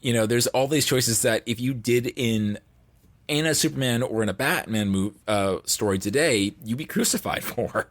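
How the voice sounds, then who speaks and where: A man with an average-pitched voice chats as a guest on a podcast. He is informal and illustrative, talking in loose conversational phrases. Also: normal speed. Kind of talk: casual podcast chat.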